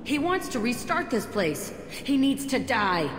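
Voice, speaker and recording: A woman's voice: fairly high pitch, very animated, unhurried, noisy background. An actress playing a tough, bitter, foul-mouthed young woman with biotic powers in a video game, speaking bluntly and defiantly. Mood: angry. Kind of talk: dramatic video game cutscene acting. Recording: echoey hall reverb, music behind.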